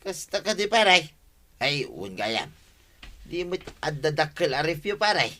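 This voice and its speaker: A man with an Ilocano Filipino accent playing an excitable, wheedling village buddy in an audio comedy. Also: a little high, normal speed, slight background noise. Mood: coaxing.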